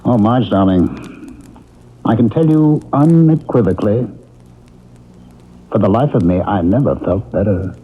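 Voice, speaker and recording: A man's voice: fairly low pitch, measured pace, pauses often, slightly rough. An actor playing a sincere, plainspoken, devoted husband who tells his own story in a radio drama. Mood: loving, relieved. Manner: earnest, plainspoken. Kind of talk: 1970s radio drama acting and narration. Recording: muffled vintage radio broadcast.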